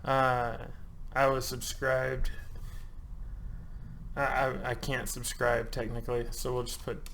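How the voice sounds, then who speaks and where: A man's voice: medium pitch, monotone, slow, a bit bright, a little hiss or hum. A man in his thirties talks viewers through an online form at an easy, conversational pace. His delivery is loose and joking, full of filler words. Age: 30s. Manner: loose, chatty, joking, with frequent ums and uhs. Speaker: channel host. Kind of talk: casual screen-share walkthrough.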